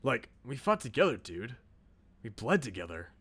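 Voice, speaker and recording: A man's voice: medium pitch, very animated, normal speed, a bit bright, slightly rough. Man acting a brash, loyal young bro. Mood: earnest. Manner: casual, slangy, earnest.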